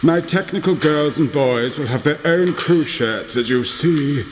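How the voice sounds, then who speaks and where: A man plays a brisk, authoritative bishop in a radio drama. His fairly high voice rings in a long reverb with faint noise behind it. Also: close to an everyday voice. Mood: brisk, polite. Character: brisk, businesslike bishop proud of his technical crew. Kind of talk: radio play acting.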